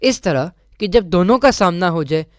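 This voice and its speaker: A man presenting on radio, reading a scripted religious article in a formal, measured manner with careful enunciation. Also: high voice, animated, no echo, clean studio sound. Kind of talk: scripted devotional narration.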